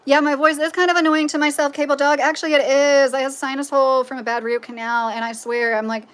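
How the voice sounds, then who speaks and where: A woman's voice: high-pitched, flat delivery, fast, fairly bright tone. A woman from with a nasal voice, hosting a livestream and chatting in a rambling, opinionated way with lots of "like" and "you know.". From Kentucky, United States. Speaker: livestream host reading viewer comments. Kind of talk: casual livestream chat.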